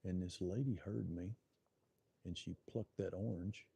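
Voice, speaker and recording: Husky, mellow Oklahoma man telling stories. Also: deep voice, steady tone, unhurried. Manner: folksy, rambling, greets viewers by name. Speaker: hunter live-streaming to viewers. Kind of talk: casual live-stream chat and storytelling. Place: outdoor deer blind.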